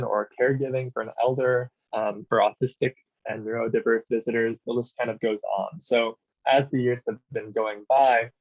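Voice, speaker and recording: A man, an architect, giving a conference presentation in a measured, explanatory way with frequent "um" fillers. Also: mid-range voice, lo-fi sound. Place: New York City.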